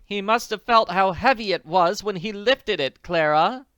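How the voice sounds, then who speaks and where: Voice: medium pitch, moderate pace, fairly bright tone, clear, resonant tone, dry recording, clean studio sound, voice pushed up, close to an everyday voice. A reader voicing a fretful, wailing lady. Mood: worried. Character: anxious older woman dining out.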